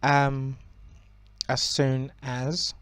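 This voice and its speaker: A young man with a croaky voice giving relaxed, rambling commentary on a strategy game, with many ums and self-corrections. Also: medium pitch, extremely slow, no room sound. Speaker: gaming channel host.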